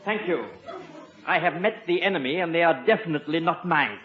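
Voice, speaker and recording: Male British butler role, fairly high voice, impeccably formal and dry, played by an actor in vintage radio comedy, muffled echoey sound. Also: brisk, close to an everyday voice. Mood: dry exasperation. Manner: formal, precise, deferential, dry. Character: very proper, dryly witty English butler. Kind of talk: 1950s radio comedy acting.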